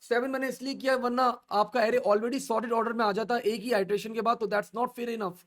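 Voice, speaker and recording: A man teaching an online coding class, asking the class questions and answering them himself as he steps slowly through each value. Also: very high-pitched, fairly even intonation, a bit bright. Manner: slow and repetitive, stepping through values. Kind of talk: online coding lesson in mixed Urdu and English.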